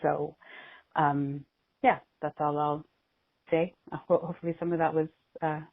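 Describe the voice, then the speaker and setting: A woman's voice: sits low, level tone, normal speed, no echo. Woman on a muffled phone line. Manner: conversational, thinking aloud. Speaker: conference call moderator. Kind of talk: informal moderated business discussion. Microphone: telephone.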